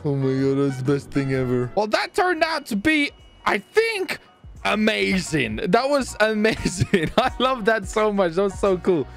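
An excitable man giving giggly gameplay roleplay commentary, often repeating himself. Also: high voice, measured pace, gravelly, faint music behind. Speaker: gaming video creator.

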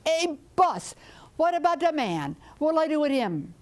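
A woman's voice: sits high, sing-song, measured pace, a little mellow, pitched above the performer's own voice. A woman voicing a small male chicken servant of a forest spirit, chirpy and eager.

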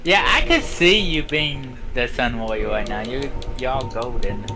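A man's voice: medium pitch, expressive, measured pace, music in the background. A young adult man talking casually and informally with slang during a game. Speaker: gamer playing co-op. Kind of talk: casual gaming chat.

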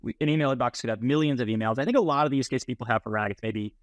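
A man's voice: medium pitch, racing, dry recording, dull audio. Man explaining, close dry sound. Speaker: interview guest, software founder. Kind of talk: explanatory technical interview. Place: small plain room indoors, video call. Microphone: earbuds on a video call.

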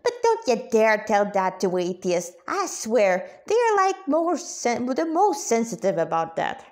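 A woman voicing a brash, excitable female cat spirit in a story, slangy and emphatic. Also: on the high side, unhurried. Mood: indignant.

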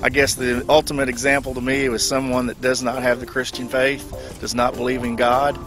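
Male street interviewee, medium pitch, flat intonation, hesitant and thoughtful, clear music underneath. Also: moderate pace. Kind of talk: unscripted street interview answer.

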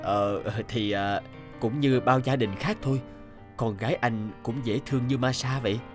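An actor playing a hesitant, guilt-ridden married father in a cartoon drama, speaking haltingly. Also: medium pitch, close to an everyday voice. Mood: casual, careful. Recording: background music under the voice.

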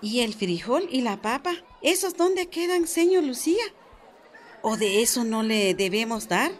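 An actress playing a chatty, cautious village grandmother in a radio soap, with a Guatemalan accent and an expressive, folksy way of talking. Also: fairly high pitch, unhurried. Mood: questioning. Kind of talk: radio soap opera acting.

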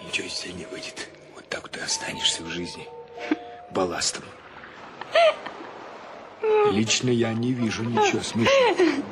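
An actor playing a fussy, excitable ship's captain and exasperated uncle in a radio play, scolding and stammering when agitated. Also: male voice, mid-range voice, moderate pace, long pauses, over loud music. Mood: weary lecturing. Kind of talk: Russian radio play acting.

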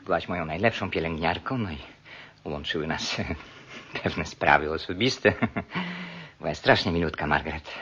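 An actor playing a cold, smug, scheming murderous husband in a radio drama, suave and coolly condescending. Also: male voice, very deep voice, normal speed, long pauses, sharp tone, slightly rough, exaggerated voice. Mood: smug, feigned grief. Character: cold, self-pitying scheming murderer, clinic-owning husband. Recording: dull, muffled radio play recording.

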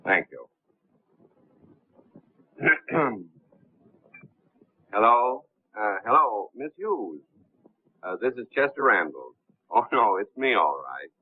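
An actor playing a suave, unflappable gentleman impostor in a 1930s film, speaking politely and smoothly. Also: on the low side, sing-song, slow, pauses often, no echo, full character voice. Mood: smoothly unruffled. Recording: muffled, tinny 1930s film soundtrack.

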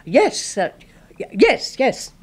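An actress with an English accent playing an obsessive, murderous secretary in a 1970s radio horror drama, intense and easily flustered. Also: female voice, a little high, huge pitch swings, slow, slightly rough, heavily acted. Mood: nervous, pleading. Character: obsessive, murderous spinster secretary, timid before her boss.

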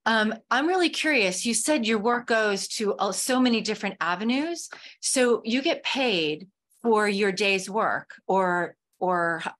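A woman's voice: medium pitch, a little slow, a bit bright. A woman panelist chatting casually and asking questions over a video call.

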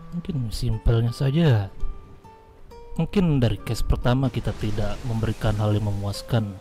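A man's voice: lowish voice, moderate pace, dark tone. A man roleplaying in an online game, speaking formally and deferentially, with some hesitation as he negotiates. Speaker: roleplay gamer playing a gang leader. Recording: music behind the voice. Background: music.

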